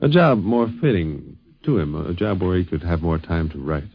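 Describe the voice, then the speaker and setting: A man's voice: deep voice, animated, normal speed, breathy edge. A man narrating a scripted 1950s radio documentary and introducing its speakers. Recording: muffled, band-limited 1950s radio recording.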